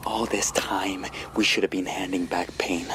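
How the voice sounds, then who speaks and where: An actor playing a bitter young avenger in a radio drama, deliberate and menacing, often hushed. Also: male voice, low-pitched, fairly even intonation, lightly acted. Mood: bitter, vengeful. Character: hardened young avenger, bitter, cold and merciless. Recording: faintly noisy radio drama recording.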